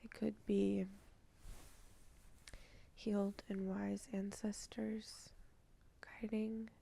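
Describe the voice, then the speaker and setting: A woman guides a channeled meditation in a soft, half-whispered voice. She speaks very slowly and evenly, with a mellow, gravelly tone. Sighs and long pauses fill the gaps between her phrases. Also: medium pitch, flat delivery. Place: indoors at night.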